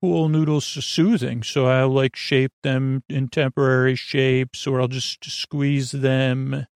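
A man playing an earnest, eccentric hobbyist who talks in a halting, rambling way on a bedtime podcast. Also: medium pitch, little pitch movement, clear, resonant tone, no room sound, studio quality. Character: Bob, earnest eccentric pool noodle hobbyist.

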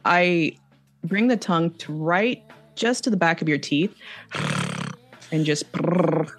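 A woman's voice: lowish voice, slow, soft background music. A woman who grew up speaking Spanish, chatting casually and gushing with enthusiasm about songs she loves. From Mexico. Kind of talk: casual chat.

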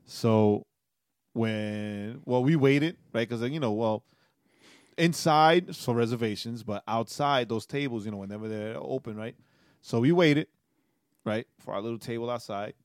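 A man's voice: mid-range voice, a little slow, clean tone, dry recording, studio quality. A man in his forties co-hosting a podcast, telling stories animatedly, laughing often and checking in with "right?". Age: 40s. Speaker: podcast co-host. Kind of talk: casual chat.

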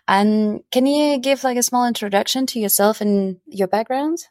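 A woman's voice: on the high side, moderate pace, a little mellow, no echo, clean studio sound. A woman co-hosting a podcast, politely welcoming and introducing a guest. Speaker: podcast co-host. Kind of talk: interview hosting.

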